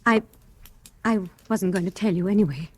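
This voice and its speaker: A woman's voice: mid-range voice, moderate pace, close to an everyday voice. An actress playing an earnest, devoted landlady, hesitant and pleading, in 1940s radio drama style. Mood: urgent, pleading. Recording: vintage 1940s radio recording.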